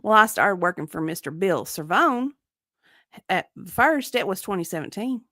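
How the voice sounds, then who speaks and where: A woman's voice: medium pitch, expressive, normal speed, sharp tone, dry recording, studio quality. A woman reading the part of a guarded, evasive witness in a dramatic deposition reading, with careful, hedging answers. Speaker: dramatic reader.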